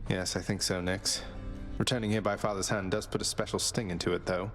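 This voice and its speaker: A man's voice: sits low, flat delivery, a little fast, a bit bright, soft background music. A man playing a young rebellious underworld prince in a video game, wry, polite and kindly. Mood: wry, earnest. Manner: polite, dry, casual. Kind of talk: video game character dialogue.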